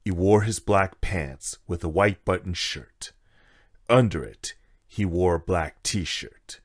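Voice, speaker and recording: A man's voice: deep voice, slow pace, breathy edge, no echo, clean studio sound. A man narrating a scripted story in a plain, understated way.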